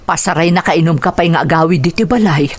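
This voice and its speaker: A woman with an Ilocano accent playing a caring, long-suffering mother and wife in a radio drama, concerned and gently scolding. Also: lowish voice, fast, slightly rough. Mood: worried, scolding. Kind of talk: Filipino radio drama acting.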